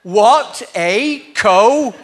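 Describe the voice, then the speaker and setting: A man voicing an Englishman abroad in a stand-up routine, speaking loudly with drawn-out, over-enunciated words so as to be understood. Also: high-pitched, animated, slight background noise, heavily acted. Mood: painstakingly slow.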